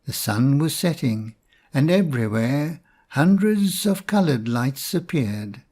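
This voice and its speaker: A man reading a storybook fairy tale in a measured, expressive storytelling manner. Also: medium pitch. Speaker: storybook narrator.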